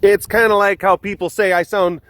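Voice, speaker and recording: A man's voice: high-pitched, little pitch movement, moderate pace, fairly bright tone. A man from Wisconsin with a Wisconsin accent, chatting playfully and rambling in a casual vlog outdoors at an animal enclosure. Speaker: animal sanctuary vlogger.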